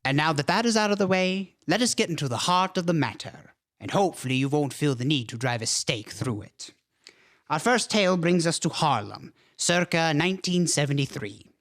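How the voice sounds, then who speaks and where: Hammy, swooping count. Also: male voice, fairly high pitch, expressive, normal speed, a bit bright. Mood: hammy storytelling. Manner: theatrical, formal, grandiose. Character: hammy, genteel male vampire count horror host. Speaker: host. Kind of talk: campy horror-host radio theater.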